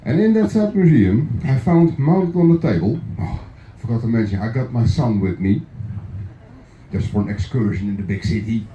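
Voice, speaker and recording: A man's voice: mid-range voice, lively intonation, moderate pace, roomy sound, muffled recording, slight background noise. A middle-aged man from the Netherlands with a Dutch accent, a clog maker joking and chuckling his way through a live craft demonstration in an indoor workshop. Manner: jokey, chuckling between remarks.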